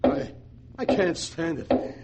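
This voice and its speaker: An actor playing a tormented, hard-boiled gangster in a 1940s radio drama, with rough, slangy tough-guy talk. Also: a little high, animated, slow, heavy background noise. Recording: vintage 1940s radio recording.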